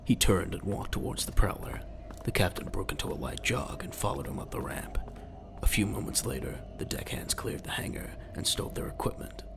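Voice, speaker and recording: A man with a gravelly voice narrating a scripted audio drama. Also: lowish voice, sing-song, fairly quick, in a whisper.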